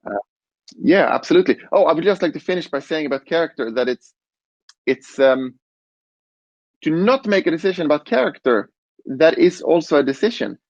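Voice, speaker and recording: A man on a conference panel explaining design, relaxed, with fillers and restarts. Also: a little high, fairly quick, long pauses, no room sound, muffled recording. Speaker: robot interaction designer.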